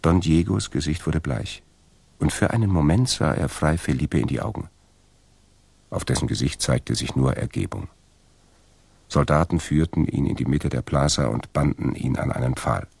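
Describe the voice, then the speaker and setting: A man with a gravelly voice narrating a scripted story as part of a radio drama. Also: a bass voice, normal speed, darkish tone, no echo. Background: plucked guitar music.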